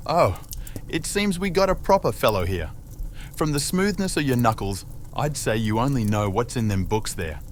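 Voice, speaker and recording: A man voicing a loud, grumpy male dwarf smith, brash and coarse in manner. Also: medium pitch, animated, moderate pace, slight background noise, pitched above the performer's own voice, close to an everyday voice. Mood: mocking.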